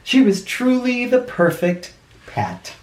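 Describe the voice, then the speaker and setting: A man reading a storybook aloud like a schoolteacher, expressive and deliberate, with dramatic emphasis. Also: extremely high pitch. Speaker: first grade teacher. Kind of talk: animated storybook read-aloud for children. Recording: roomy, echoey indoor sound.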